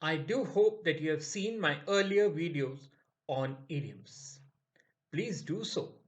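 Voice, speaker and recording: Man, scripted english lesson, high lively voice, unhurried delivery. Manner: slow, measured, lively intonation. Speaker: English teacher.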